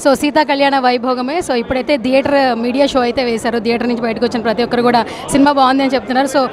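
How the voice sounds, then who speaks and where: Fast, high-voiced Telugu woman interviewer. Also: fairly even intonation. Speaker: interviewer at a film premiere. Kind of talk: lively red-carpet interview chat. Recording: light crowd chatter behind.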